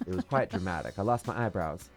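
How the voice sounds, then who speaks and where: A man's voice: sits low, fast talker, soft background music. A man who performs as a drag queen, telling stories in a casual interview with a strong, theatrical, flamboyant voice. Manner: flamboyant, theatrical storyteller with big swoops.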